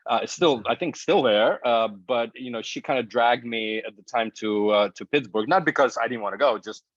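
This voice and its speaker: Laid-back guy hosting a show, kinda rambly, mid-pitch, dry slightly muffled audio. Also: moderate pace, dry recording. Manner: relaxed, rambling, self-deprecating. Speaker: podcast co-host. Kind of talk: casual chat. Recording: dry, slightly dull lo-fi sound.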